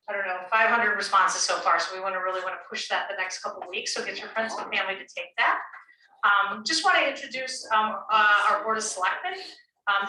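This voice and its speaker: Very bright-voiced woman opening a town meeting. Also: mid-range voice. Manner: friendly, with frequent ums and uhs. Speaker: town official hosting the meeting. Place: senior center meeting room. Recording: echoey room, distant mic, faint hiss.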